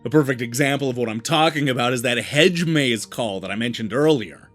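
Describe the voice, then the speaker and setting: A man delivering a scripted video game review as the show's host, with emphatic, deliberate phrasing. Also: medium pitch. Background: background music.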